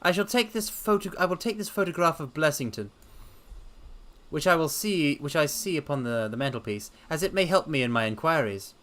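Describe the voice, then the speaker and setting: A man voicing a confident, methodical detective in a dramatic reading, with a crisp, politely assured way of talking. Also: a little high, animated, fairly quick, a bit bright, pitched above the performer's own voice.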